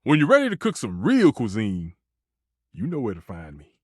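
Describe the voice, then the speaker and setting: A man's voice: medium pitch, wildly swooping pitch, normal speed, husky, no room sound, studio quality. A man voicing a passionate, proud kitchen stove in a video game, a dramatic food purist who speaks emphatically and with grand flourishes. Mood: savoring, wistful. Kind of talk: theatrical video game character dialogue.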